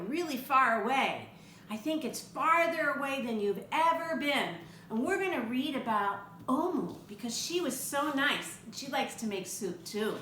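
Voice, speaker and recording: An older woman reading a picture book aloud to children, expressive and chatty, with asides to the young listener. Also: high voice. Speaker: read-aloud storyteller for children. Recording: echoey room with faint background noise.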